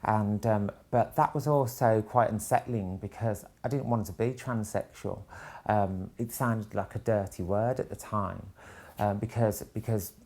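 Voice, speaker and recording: A middle-aged interviewee speaks at a medium pitch. The delivery is reflective and a little hesitant, in the manner of someone giving personal testimony. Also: fairly even intonation, measured pace, darkish tone.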